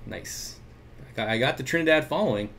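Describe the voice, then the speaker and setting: A man's voice: medium pitch, expressive, a little fast, creaky, fairly bright tone, slight background noise. A man hosting an aquarium livestream, chatting casually and reading viewer comments aloud with jokey asides. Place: home room with aquariums. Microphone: desk mic at computer.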